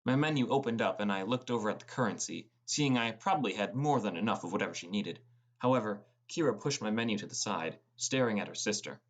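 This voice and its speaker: A man's voice: fairly low pitch, expressive, fast talker. A man narrating a scripted first-person fantasy audiobook as a teenage boy gamer.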